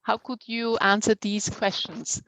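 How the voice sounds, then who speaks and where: A woman facilitating an online session, asking questions conversationally and deliberately, repeating some phrases as she goes. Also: mid-range voice, steady tone, fairly bright tone, no echo, rough recording. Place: video call.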